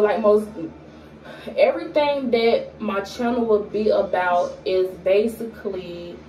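A young woman, chatting to camera in a relaxed way, with many fillers and an occasional stutter. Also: medium pitch, recorded from a distance. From Atlanta, Georgia. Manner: unhurried, with frequent fillers and pauses; stutters at times. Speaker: vlogger. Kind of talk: casual get-to-know-me chat to camera. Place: small indoor room at home. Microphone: camera in front at arm's length. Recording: echoey room, voice a bit off-mic.

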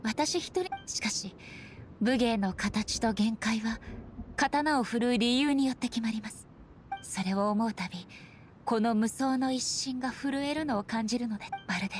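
A woman's voice: a little high, moderate pace, a little hiss or hum, close to an everyday voice. An actress playing a resolute, reflective female deity and swordswoman in a Japanese game, with polite, formal, earnest speech. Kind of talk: Japanese anime game acting. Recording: faint game music behind the voice.

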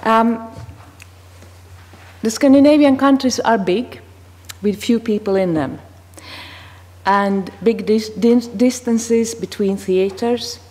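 A woman in her fifties with a Finnish accent, giving a careful prepared talk on a panel and pausing over her words with small fillers. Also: fairly high pitch, darkish tone. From Finland. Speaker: panel speaker. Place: committee room in a parliament building. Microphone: desk microphone. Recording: long reverb in a large room.

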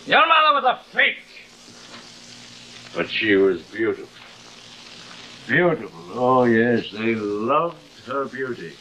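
A man's voice: medium pitch, very animated, slow pace, long pauses, bright, forceful tone, dull audio, heavily acted. A middle-aged actor playing a vain, cruel, drunken stage-actor father in a grandiose, slurred, taunting way, in theatrical 1950s television style. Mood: bitter scorn. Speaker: actor in a television horror drama. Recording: hissy old 1950s television soundtrack.

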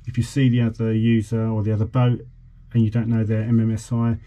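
A man's voice: on the low side, completely flat delivery, fairly quick, warm tone. A man in his forties giving an informal, slightly hesitant explanation as he demonstrates equipment in a vlog. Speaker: vlogger. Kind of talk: informal demonstration of a boat radio. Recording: clearly noisy background.

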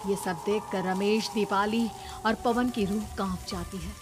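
A woman's voice: mid-range voice, fairly even intonation, a little slow, background noise. A woman narrating a scripted horror story.

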